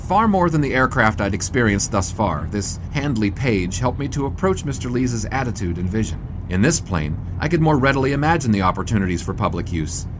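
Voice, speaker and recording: A man's voice: mid-range voice, big pitch swings, a little fast, hardly any pauses, noisy background, close to an everyday voice. A man playing a curious, jittery 1920s newspaperman in a scripted audio drama, with a wordy, wry, literary manner. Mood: wry, reflective. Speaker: sports writer narrating his story. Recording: loud vehicle drone behind voice.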